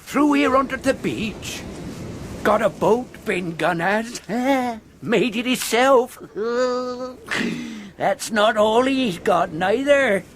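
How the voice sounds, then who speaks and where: An actor playing a half-mad old castaway, rambling and repeating himself in a radio drama. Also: male voice, very high voice, very slow, exaggerated voice. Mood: sly, gleeful. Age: older. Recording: noisy radio drama with outdoor ambience.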